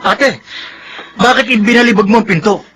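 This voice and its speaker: An actor playing an anxious younger brother in a Filipino radio drama, hesitant and questioning. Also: male voice, high-pitched, normal speed, pushed and bright, soft background music. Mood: worried.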